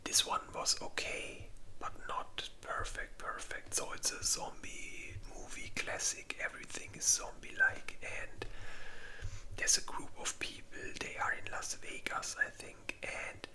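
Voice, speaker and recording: ASMR creator for a casual ranking video: all whisper, very bright and sibilant, informal, halting phrasing. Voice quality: breathy, unvoiced whisper. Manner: unhurried, halting, informal delivery.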